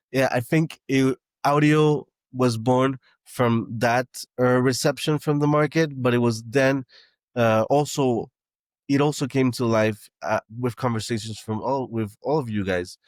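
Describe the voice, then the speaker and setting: A man's voice: mid-range voice, no room sound, clean studio sound. A man who grew up in Canada, a startup founder talking as a podcast guest, with many restarts and fillers. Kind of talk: casual interview talk.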